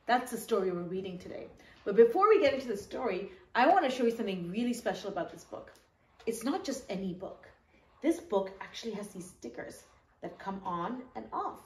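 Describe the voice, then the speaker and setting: A woman storyteller reading a children's story aloud, with animated asides and questions to young listeners. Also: mid-range voice, fairly quick. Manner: animated, asks listeners questions, acts out short quotes. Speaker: children's storyteller reading her own book. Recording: echoey room.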